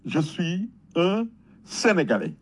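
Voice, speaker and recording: A man's voice: a little high, huge pitch swings, slow pace, slightly rough. A man with a Senegalese accent giving an impassioned patriotic talk, emphatic and rhetorical, telling stories with repetition. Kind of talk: impassioned patriotic public talk, switching between French and Wolof.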